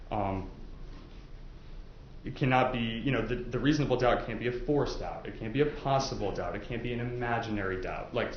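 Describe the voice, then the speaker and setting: A man speaking as a prosecutor questioning prospective jurors in a chatty, informal way, using everyday examples. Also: on the low side. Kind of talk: informal courtroom jury questioning. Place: courtroom. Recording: echoey, muffled, noisy courtroom recording.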